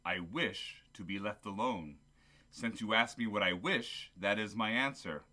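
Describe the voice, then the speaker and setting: A man's voice: on the low side, unhurried, pushed and bright. An actor playing a cold, miserly older businessman in a radio play, curt, sarcastic and dismissive. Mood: cold contempt. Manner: curt, scornful, sardonic.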